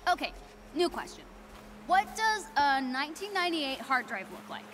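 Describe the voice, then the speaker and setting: An eager, awkward American teenage girl hero from a video game. Her voice sits high and moves up and down freely as she chats in an excitable, informal way. Ambient game noise runs behind her. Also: normal speed. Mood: casual, curious. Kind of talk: naturalistic video game acting.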